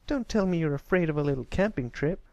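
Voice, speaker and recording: Brash young pony filly, male reader acting, raised pitch, curt and bossy, distrustful, cartoon storybook. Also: animated, fast talker, a little mellow, no echo, highs cut off. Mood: bossy, stern.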